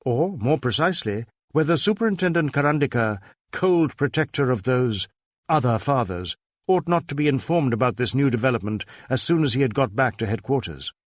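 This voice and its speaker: A man's voice: mid-range voice, no room sound, studio quality. A man reading a crime novel aloud as scripted narration. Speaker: audiobook narrator.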